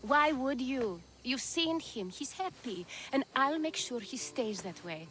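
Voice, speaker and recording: A woman's voice: high voice, animated, measured pace, a bit bright. An actress playing a vain, ambitious young bride-to-be in a video game, smug and dismissive as she talks. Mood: self-satisfied. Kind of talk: video game cutscene acting.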